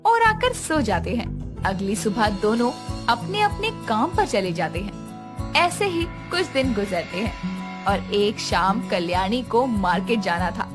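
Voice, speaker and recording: A woman's voice: mid-range voice, sing-song, a little slow, over music. A woman narrating a scripted cartoon story as a storyteller.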